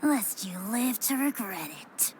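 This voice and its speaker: Pompous miniature dragon villain for a game, theatrical menace, slow swooping delivery. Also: female voice, on the high side, expressive, noisy background. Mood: haughty menace. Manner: pompous, theatrical, archaic threats. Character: tiny pompous dragon companion, boastful and menacing. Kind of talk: video game voice acting.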